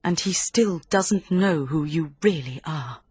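A woman's voice: low-pitched, slow, darkish tone, husky, no echo, clean studio sound, exaggerated voice. An actress playing an anguished, defiant woman in an audio drama, speaking in a pleading, intense way.

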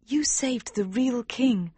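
A man voicing a gentle, dutiful young princess in a storybook reading, speaking softly and earnestly. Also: extremely high pitch, slow pace, husky, dry recording, pitched above the performer's own voice, full character voice. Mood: tender. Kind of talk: audiobook character voice.

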